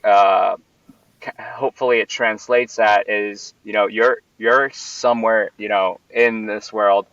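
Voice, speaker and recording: Rambling flat-voiced man, distant lo-fi mic. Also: fairly low pitch, a little slow, no echo. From Puerto Rico. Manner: rambling, many fillers and restarts. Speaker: venue owner and founder. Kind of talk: casual interview answers.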